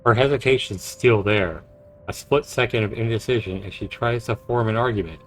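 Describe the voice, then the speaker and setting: An actor playing a brooding, guilt-ridden but dryly charming man in a visual novel, measured and reflective. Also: lowish voice, a bit bright, slight background noise, poor audio. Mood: pensive. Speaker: narrating protagonist. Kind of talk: visual novel voice acting, introspective narration and dialogue. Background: music.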